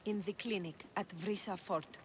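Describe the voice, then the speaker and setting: A woman playing a shrewd, composed healer in a video game, with a measured, assured manner. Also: mid-range voice, fairly even intonation, background noise, lightly acted. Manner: assured, measured, matter-of-fact. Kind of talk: video game cutscene acting.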